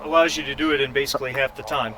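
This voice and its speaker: A man narrating casually as game master, improvising with halting pauses. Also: mid-range voice, steady tone, a loud crowd, rough recording.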